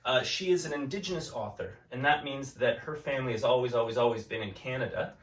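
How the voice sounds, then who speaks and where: A man teaching a class, chatting gently and reading a picture book aloud to children. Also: medium pitch, fast. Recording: echoey room, mic at a distance.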